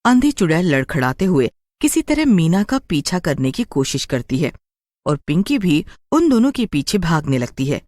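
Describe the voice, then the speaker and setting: A woman's voice: on the low side, very animated, normal speed, darkish tone, no room sound, clean studio sound. A woman storyteller giving scripted, dramatic narration of a story.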